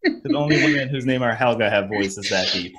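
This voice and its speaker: A young man with a deep baritone voice, teasing and deadpan in casual podcast banter, laughing often. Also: brisk, a loud crowd. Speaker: podcast co-host.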